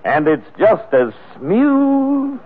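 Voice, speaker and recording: A man's voice: high-pitched, sing-song, very slow. A man performing a rhyming 1940s radio advertising jingle. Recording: tinny vintage radio recording.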